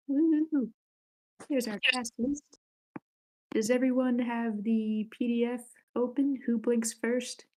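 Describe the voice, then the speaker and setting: A woman's voice: on the high side, a little mellow, rough recording. A woman hosting a script table read in a casual way over an online group call.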